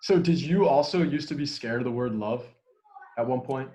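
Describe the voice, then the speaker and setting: A man's voice: medium pitch, moderate pace, mellow, low-quality recording. A man hosting a podcast in a casual conversation, talking in an easy way and dropping "okay" into his sentences. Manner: conversational, peppers speech with "okay". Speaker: podcast host.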